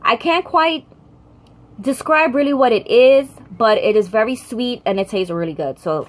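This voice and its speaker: A woman's voice: on the high side, moderate pace. A young woman from the United States with an American accent, chatting casually to camera in a rambling way and often correcting herself. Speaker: vlogger.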